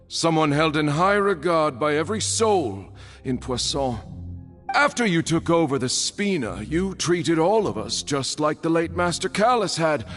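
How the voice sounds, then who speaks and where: Game role: theatrical judge, fervent and formal, fairly high male voice with sweeping pitch, ringing through a long reverb. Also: a bit bright. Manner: declamatory, formal, emphatic. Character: theatrical judge, grandiose and fervent, devoted townsman. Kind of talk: scripted video game voice acting. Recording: long courtroom reverb, music behind.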